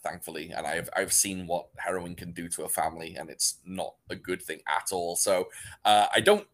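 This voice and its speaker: A man's voice: low voice, steady tone, normal speed, a bit bright. A young man from North Wales with a North Welsh accent, rambling and laughing often as a podcast co-host. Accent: North Welsh, closer to English. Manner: laughs a lot, rambling with restarts. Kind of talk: casual podcast chat.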